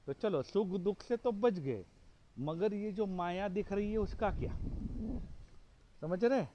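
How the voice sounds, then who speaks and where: A man giving a spiritual discourse with a hoarse throat, asking questions and then answering them himself. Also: high voice, slow, muffled recording, slight background noise. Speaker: spiritual teacher.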